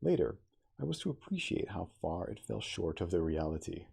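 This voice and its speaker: Dignified male starship captain, played by a man, reading literature ASMR-style. Gravelly, very expressive intonation, deliberate theatrical delivery. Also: low-pitched, exaggerated voice. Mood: thoughtful, reflective. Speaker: cosplayer reading aloud as a character. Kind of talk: ASMR audiobook reading of a classic novel.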